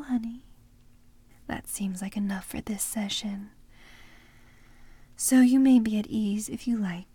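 A woman speaking in a soft, breathy whisper for an ASMR roleplay, teasing and commanding. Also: medium pitch, no room sound.

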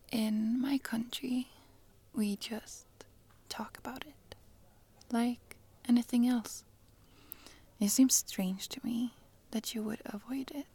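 A woman voicing a friendly, chatty young Swedish traveler with a Swedish accent, in a soft, giggly whisper for an ASMR roleplay. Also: on the high side, gravelly, breathy edge, exaggerated voice. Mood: puzzled.

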